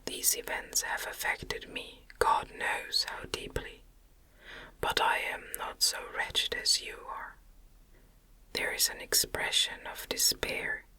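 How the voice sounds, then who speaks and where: A woman voicing a tender, anguished young gentlewoman in a gothic audiobook, pleading gently in a breathy whisper.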